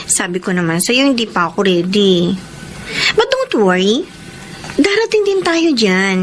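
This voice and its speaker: A woman's voice: mid-range voice, measured pace, slight background noise. An actress playing an earnest, politely stubborn young Filipino woman in a radio drama. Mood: gently resisting. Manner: expressive, pleading, respectful to elders. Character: earnest twenty-year-old unmarried woman, reluctant to wed, politely stubborn. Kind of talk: Tagalog radio drama acting and narration.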